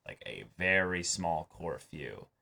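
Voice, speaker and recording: Low-pitched young man talking things through. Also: sing-song, takes it slowly, gravelly, bright, forceful tone. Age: young adult. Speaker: host of an anime reaction show. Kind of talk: casual discussion. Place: small indoor room, seated before a backdrop.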